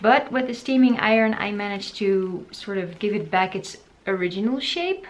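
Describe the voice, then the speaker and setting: A woman's voice: mid-range voice, lively intonation, moderate pace. A woman in her thirties chatting to the camera from her living room as she shows a craft project, wondering aloud and poking fun at her own skills. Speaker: sewing vlogger. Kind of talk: casual tutorial talk to camera.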